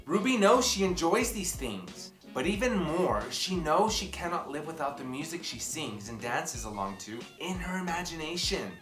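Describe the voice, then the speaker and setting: Animated woman reading a children's story. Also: low voice. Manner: animated, theatrical, dramatic pauses and emphasis. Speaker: picture book reader. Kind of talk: expressive children's story read-aloud. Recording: roomy echo, music behind.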